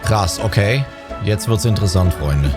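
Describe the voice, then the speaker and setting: A man's voice: fairly low pitch, animated, normal speed. A man doing casual let's play commentary as a gaming streamer, slangy and mixing in English words. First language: German. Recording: game music clearly behind voice.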